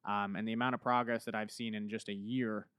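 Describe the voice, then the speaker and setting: A male podcast host with a smooth, resonant voice, upbeat and ready with a joke. He sounds close and dry on a studio mic, with no room echo. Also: lowish voice, flat delivery, fast, a bit bright. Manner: upbeat, joking, fluent with fillers. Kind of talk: casual podcast hosting.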